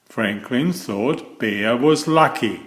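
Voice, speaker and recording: A man reading a children's story aloud in a deliberate, expressive way. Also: medium pitch. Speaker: storybook narrator. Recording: echoey room.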